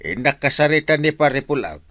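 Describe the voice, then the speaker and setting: An actor with an Ilocano accent playing a blustering, proud village captain in a comic radio drama. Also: male voice, a little high, sing-song, moderate pace, a bit bright, dry recording, studio quality. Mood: excited. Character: blustering, proud village captain, adult man, easily provoked.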